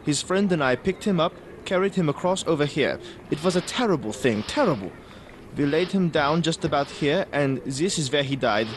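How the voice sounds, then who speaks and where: An actor playing an evasive, courteous Viennese baron in a radio drama, with an Austrian German accent and a hesitant, ingratiating manner. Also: male voice, fairly high pitch, animated, normal speed, a little hiss or hum, close to an everyday voice. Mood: solemn.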